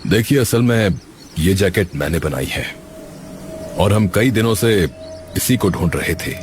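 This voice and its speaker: A man's voice: sits low, expressive, measured pace, a little hiss or hum. A man voicing a kind middle-aged scientist in a cartoon, explaining things earnestly. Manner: explains earnestly, apologetic and warm to the worker. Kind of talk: cartoon voice acting.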